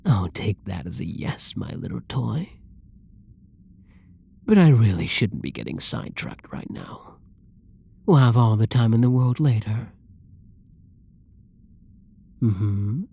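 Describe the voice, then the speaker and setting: A husky, flirtatious villain, as acted by a performer for an intimate ASMR roleplay, dominant and possessive. The delivery is slow and teasing, swooping in pitch and slipping into breathy near-whispers, with a soft, dark tone and gravelly creak. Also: big pitch swings, exaggerated voice. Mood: teasing, seductive. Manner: teasing, drawling, pet-name-laden purr.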